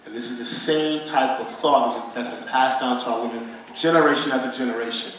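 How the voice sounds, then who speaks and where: A man's voice: mid-range voice, fairly quick, fairly bright tone, natural voice. An actor playing an earnest divorced father who teaches his son in an emphatic, preaching stage manner. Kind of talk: stage play dialogue, preachy. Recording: roomy echo, distant mic, faint noise.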